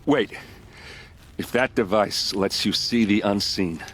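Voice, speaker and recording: A man's voice: slow, fairly bright tone. An actor voicing a young male warrior in a video game who grieves his murdered sister, earnest and pleading. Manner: earnest, pleading, low-voiced.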